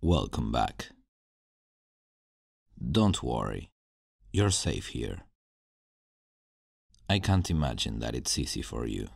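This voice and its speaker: Brooding supervillain scientist for ASMR roleplay, played by a man: extremely low gravelly voice, slow deliberate delivery, menacing yet regretful. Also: very animated, darkish tone, no echo, clean studio sound, full character voice. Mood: calm, regretful. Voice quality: very deep, gravelly. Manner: slow, deliberate, sighing, dramatic pauses. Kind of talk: ASMR roleplay, dramatic acting.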